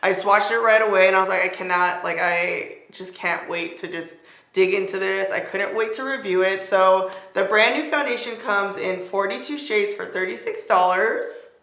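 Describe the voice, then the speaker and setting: A young woman with a raspy voice, chatting excitedly as she reviews a makeup product. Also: mid-range voice, moderate pace, roomy sound. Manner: chatty, excited, filler-heavy. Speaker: beauty vlogger.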